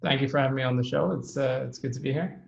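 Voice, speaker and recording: A man's voice: medium pitch, steady tone, quick pace, creaky, lo-fi sound. A man from the Netherlands, a guest on a podcast, chatting casually and thinking aloud with frequent ums. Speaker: podcast guest, startup co-founder. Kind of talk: casual interview chat. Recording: roomy echoey sound.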